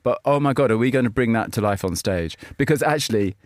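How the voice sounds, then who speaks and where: A man's voice: medium pitch, lively intonation, quick pace, dry recording, studio quality. A man appearing as a guest on a radio show, chatting in a playful, teasing way. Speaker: magazine editor guest. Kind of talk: casual radio chat.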